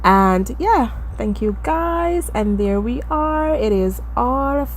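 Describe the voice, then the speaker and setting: A woman's voice: a little high, lively intonation, slow pace, a little hiss or hum, rough recording. Stuffy-nosed chatty woman from the Caribbean. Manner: chatty, addresses viewers as guys, self-deprecating. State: stuffy nose from allergies. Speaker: beauty vlogger. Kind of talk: casual wig-making tutorial voice-over.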